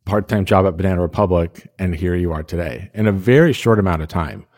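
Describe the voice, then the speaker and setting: A man hosting an interview, asking steady, encouraging questions. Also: low-pitched, fairly quick. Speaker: podcast host.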